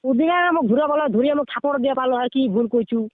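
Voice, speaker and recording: A young man with a North Bengal accent argues casually on a call. His pitch is strikingly high and his words come quickly. The line is thin and tinny. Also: no echo. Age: teens to young adult. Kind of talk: casual, argumentative phone chat. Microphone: phone call. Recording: tinny phone-line sound, dry.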